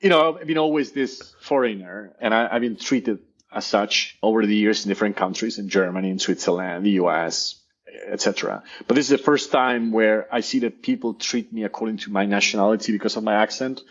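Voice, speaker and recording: A man's voice: fairly low pitch, a little fast, lo-fi sound. A man with an Argentinian Spanish accent, a native Spanish speaker, talking in a casual interview, enthusiastic and often repeating words as he searches for them. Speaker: guest, professor.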